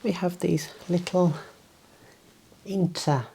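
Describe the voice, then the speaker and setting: A soft, breathy whisper in an ASMR medical role play, gently and politely explaining and instructing step by step as a clinician. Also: mid-range voice, steady tone, measured pace, slight background noise. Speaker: ASMR performer playing a clinician.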